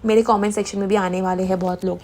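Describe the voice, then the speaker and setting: A young woman talks through influencer gossip in a relaxed, chatty way. She mixes Hindi and English as she goes, and her voice sounds mellow and warm. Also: medium pitch, fast talker. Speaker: gossip commentary host. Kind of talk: casual Hindi-English commentary.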